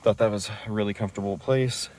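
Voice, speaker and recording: Deep-voiced man giving a casual walkthrough. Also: steady tone, quick pace, darkish tone, slight background noise. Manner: informal, explanatory, step-by-step. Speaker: DIY car video presenter.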